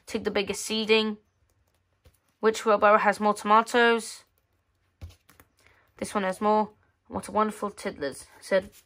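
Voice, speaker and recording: Casual female read-aloud voice, medium pitch, halting, with offhand muttered asides. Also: a bit bright. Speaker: magazine reviewer. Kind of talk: casual read-aloud walkthrough of a children's magazine. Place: indoors at a tabletop.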